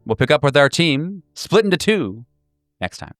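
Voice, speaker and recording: A man's voice: a little high, sing-song, normal speed, faint music behind. A man narrating a horror tabletop game as game master, in ornate, literary phrasing.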